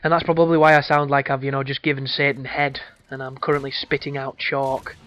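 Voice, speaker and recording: A hoarse man talks through a game walkthrough in a mid-pitched voice. His delivery is loose and crude, full of rambling asides. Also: level tone, a little fast, a bit bright, no echo. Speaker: gameplay commentator.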